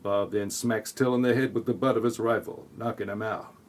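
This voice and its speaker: A man narrating a scripted audio play in a tongue-in-cheek, deadpan way with sarcastic asides. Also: fairly low pitch, fairly quick.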